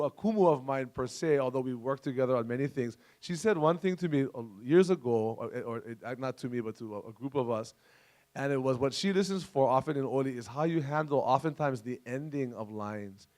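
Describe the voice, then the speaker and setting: A man speaking as a panelist, animated and digressive with self-deprecating humor. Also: medium pitch. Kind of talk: panel discussion.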